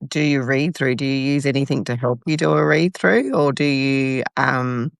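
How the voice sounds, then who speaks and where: A woman co-hosting a casual chat, relaxed and agreeable, laughing easily. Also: a bass voice, moderate pace, gravelly, dry recording, studio quality. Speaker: podcast co-host.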